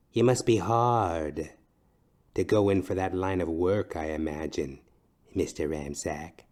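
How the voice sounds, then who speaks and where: A man voicing a seductive, wealthy middle-aged femme fatale with coy, teasing, drawn-out delivery in a noir audio play. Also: on the low side, dark tone.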